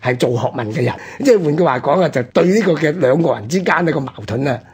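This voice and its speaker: A male radio co-host with a Hong Kong Cantonese accent and a husky voice, telling history in an animated, conversational way. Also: on the high side, fast.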